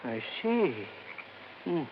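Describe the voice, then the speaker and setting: A man's voice: fairly high pitch, big pitch swings, extremely slow, heavily acted. An actor playing a sly, murderous man with a drawling, evasive manner in an old radio detective drama. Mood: wary, probing. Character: sly murderous man faking confinement to a wheelchair. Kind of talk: 1950s radio drama acting. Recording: dull lo-fi old radio, faint noise.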